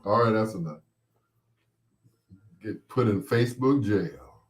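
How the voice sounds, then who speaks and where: Slow-talking man telling casual, playful stories. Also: fairly low pitch, expressive, music in the background. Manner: playful, acts out quoted voices. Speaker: host of a personal storytelling show. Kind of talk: casual rambling personal storytelling.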